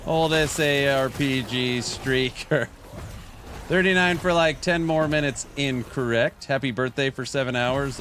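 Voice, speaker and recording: Late-30s male game streamer, unhurried, jokey chat-reading banter. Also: mid-range voice, fairly bright tone, a little hiss or hum. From California. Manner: jokey, reads viewer chat aloud and banters. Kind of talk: casual livestream chat while gaming.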